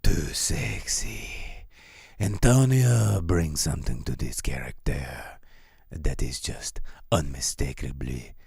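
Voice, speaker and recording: A man playing an over-the-top heartthrob movie star, whispering slowly and seductively. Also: a bass voice, a little mellow, dry recording, clean studio sound, voice pushed down, heavily acted. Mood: sultry, smouldering. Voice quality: breathy, whispered.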